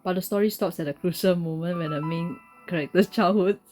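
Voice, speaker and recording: A woman with a low voice plays a game and talks along casually, sometimes reading its text aloud. Game music is clearly audible behind her. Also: a little fast, darkish tone. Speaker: gamer playing and commenting.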